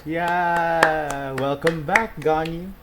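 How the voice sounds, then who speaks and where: Low, slow-talking woman streamer. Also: fairly even intonation, a loud crowd. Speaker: video game streamer. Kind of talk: casual live game commentary.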